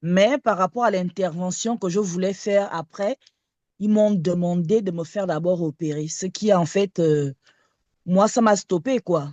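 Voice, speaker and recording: A woman in her late thirties speaks over an online call in a dark, mellow voice. She talks hesitantly and halts often with fillers. The sound is dry and close. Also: fairly low pitch, measured pace, dry recording, muffled recording. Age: 30s. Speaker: caller asking a question. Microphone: online video call.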